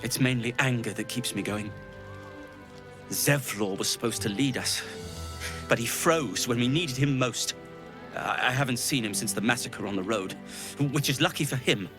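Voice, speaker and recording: An actor playing a bitter, angry survivor with a grim, resentful manner. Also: male voice, mid-range voice, normal speed, long pauses, music in the background, natural voice.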